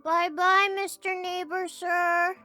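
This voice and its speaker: A demanding little boy in a horror audio story. The voice is extremely high and very bright, with slow, drawn-out childish words. Music plays behind it. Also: flat delivery, full character voice. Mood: sweet, polite. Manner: shrieking demands, then slow sweet politeness.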